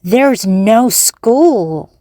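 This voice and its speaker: A woman voicing a young girl friend who eagerly tempts a companion, in a higher, playful tone. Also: animated, drawn-out words, warm tone, no room sound. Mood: coaxing.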